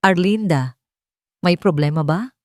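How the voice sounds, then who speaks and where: A woman's voice: lowish voice, a little slow, darkish tone, dry recording, clean studio sound, close to an everyday voice. A woman playing a weary, wealthy male employer in an audio drama, curt and dismissive in manner.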